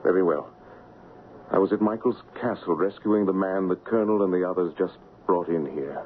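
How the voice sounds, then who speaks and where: An actor playing an honorable, lovesick stand-in king in a radio drama, speaking and narrating in an earnest, formal, measured way. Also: low-pitched, slight background noise, lightly acted. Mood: grave. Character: lovesick honorable English royal stand-in, noble and troubled. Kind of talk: radio drama acting and first-person narration. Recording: muffled vintage radio broadcast, music behind.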